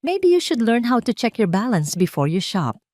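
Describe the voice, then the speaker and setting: A woman's voice: fairly high pitch, very animated, normal speed, darkish tone, dry recording, studio quality, pitched above the performer's own voice. A woman voicing a weary, detached billionaire husband with a tired, dismissive manner in an audio drama. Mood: dry, dismissive.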